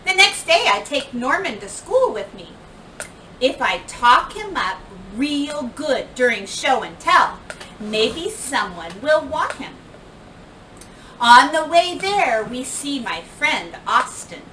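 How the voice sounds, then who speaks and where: A woman reading a children's picture book aloud like a teacher, with expressive, emphatic delivery that acts out the words. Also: sits high, takes it slowly. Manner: animated, emphatic delivery with acted-out dialogue and sound words. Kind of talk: expressive storybook read-aloud. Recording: roomy, distant microphone, clear background noise.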